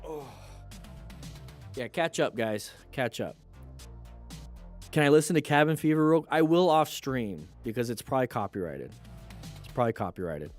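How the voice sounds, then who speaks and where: A man's voice: mid-range voice, expressive, normal speed, long pauses. A congested man talks through a casual livestream with a stuffy, nasal voice. He rambles in loose asides between motorcycle clips and plugs a sale. Faint music plays behind him.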